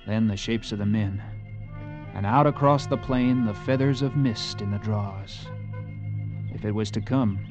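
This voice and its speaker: An actor playing a steady, commanding U.S. cavalry officer in a vintage radio drama, speaking in a measured way. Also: male voice, fairly low pitch, music in the background, natural voice. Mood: tense, hushed. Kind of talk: 1950s radio drama acting with narration.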